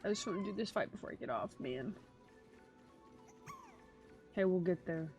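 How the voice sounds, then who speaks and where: A woman's voice: on the low side, lively intonation, moderate pace, darkish tone, faint music behind. Offhand woman streaming a game. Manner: offhand, blunt, swears casually. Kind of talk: casual live gameplay commentary.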